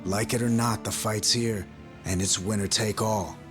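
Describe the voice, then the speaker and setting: An actor playing a steady, pragmatic leader in a video game, earnest and firm, in clipped phrases. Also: male voice, lowish voice, a little slow, over music, close to an everyday voice. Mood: firm, urging. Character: steady, pragmatic male leader briefing his allies. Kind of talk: dramatic video game cutscene acting.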